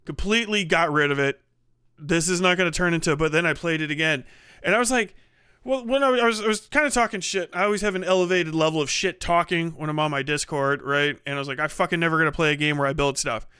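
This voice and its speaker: A man hosting a livestream in casual, rambling, sweary chat, with an animated way of talking into a close mic. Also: fairly high pitch, quick pace, smooth, no room sound. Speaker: livestream host.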